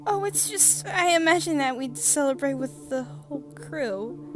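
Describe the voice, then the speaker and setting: A woman voicing a sweet, bubbly young female crewmate in a comic dub, with a cutesy, expressive delivery. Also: sits high, unhurried, music in the background. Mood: worried. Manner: cutesy, sing-song, expressive.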